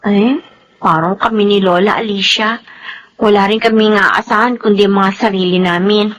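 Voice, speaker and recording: A woman's voice: medium pitch, steady tone, moderate pace, lightly acted, low-quality recording. A woman playing an earnest young granddaughter who cares anxiously for her grandmother in a melodrama. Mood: confiding. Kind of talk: Tagalog radio-style melodrama acting.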